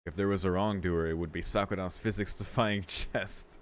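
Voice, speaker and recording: A man's voice: deep voice, fairly even intonation, normal speed. A man hosting a let's play, reading a visual novel aloud with informal, amused commentary. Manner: amused, sweary, informal asides.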